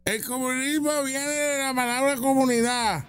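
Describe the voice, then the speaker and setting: A man's voice: very high-pitched, steady tone, normal speed, a crowd in the background, background noise. A man giving casual, opinionated reaction commentary, mocking and full of rhetorical questions. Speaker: political commentator reacting to street interview clips.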